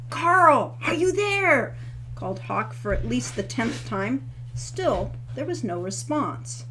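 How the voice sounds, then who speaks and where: A woman's voice: medium pitch, a bit bright, slight background noise, rough recording. A woman reading her own book aloud into a webcam, with casual asides. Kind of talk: audiobook read-aloud.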